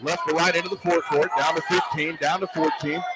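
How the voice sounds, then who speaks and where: High-pitched male basketball play-by-play announcer. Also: level tone, a little fast, rough recording. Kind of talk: live basketball play-by-play commentary.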